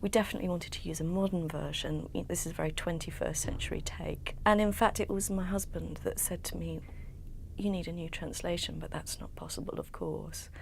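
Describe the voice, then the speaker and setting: A woman's voice: fairly low pitch, a little fast, creaky, slight background noise. A woman talking in an interview as an artist explaining her work. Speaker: sound artist and composer. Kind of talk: interview talk.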